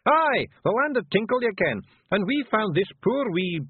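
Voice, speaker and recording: A man voicing a small, fierce male fairy chieftain with a broad Scottish accent and a brash, shouty manner. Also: high voice, big pitch swings, normal speed, slightly rough, dry recording, voice pushed up, full character voice. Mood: flustered. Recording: dry tinny phone-like sound.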